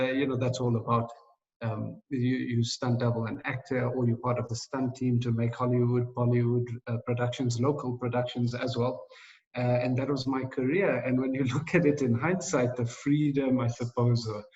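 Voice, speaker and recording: Male guest in his thirties, reflective and hesitant, mid-pitched voice, heard from a distance on dull, muffled audio. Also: darkish tone. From South Africa. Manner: thoughtful, many fillers, laughs lightly. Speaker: interview guest, financial coach. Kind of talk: reflective interview answers.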